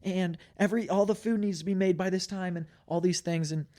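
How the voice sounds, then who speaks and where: A man's voice: high-pitched, steady tone, dark tone. A man, a podcast co-host, sharing thoughtful, hedged opinions in a casual group chat. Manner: measured, thoughtful, hedging opinions.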